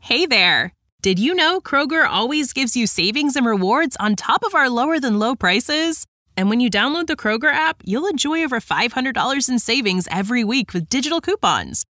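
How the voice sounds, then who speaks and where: A woman reading a scripted advertisement, her voice bright and a little high. The recording is dry and close, and her delivery is polished and upbeat. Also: lively intonation, moderate pace, dry recording. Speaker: ad announcer. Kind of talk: scripted radio ad read.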